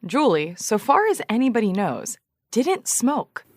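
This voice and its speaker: A prim, animated podcast host. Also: female voice, a little high, a little slow, dry recording, studio quality. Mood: earnest, probing. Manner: polished, dramatic, prim. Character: self-important female true-crime podcast host, earnest and easily irked. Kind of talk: scripted true-crime podcast narration and interviewing.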